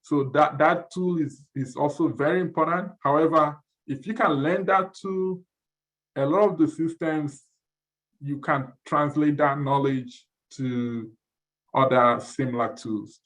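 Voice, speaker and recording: A man's voice: a little high, rough recording. A man from Nigeria presenting at an online info session, explaining tools casually and often repeating words as he goes. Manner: relaxed, halting with repeated words.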